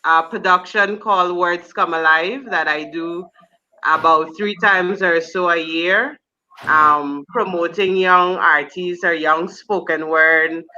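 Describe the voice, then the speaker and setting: A Guyanese woman speaking as a guest judge on a panel, measured and a little hesitant with fillers. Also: lowish voice, bright, forceful tone, lo-fi sound. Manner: measured, self-introducing with fillers. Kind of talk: panel discussion.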